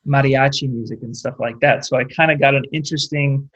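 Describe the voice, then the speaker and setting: A young man with a Californian accent talks in a casual interview. His voice sits at a middle pitch, and he rambles along with plenty of "like" and "you know". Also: steady tone, brisk, fairly bright tone, highs cut off. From California, United States. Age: late 20s. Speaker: interview guest, rock singer.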